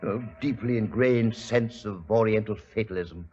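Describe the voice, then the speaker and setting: An actor playing an imperious, wealthy industrialist in an old radio mystery, speaking formally with coldly courteous menace. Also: male voice, on the low side, normal speed, mellow, soft background music. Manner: smooth-talking, formal, menacing. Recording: dull, hissy 1940s radio recording.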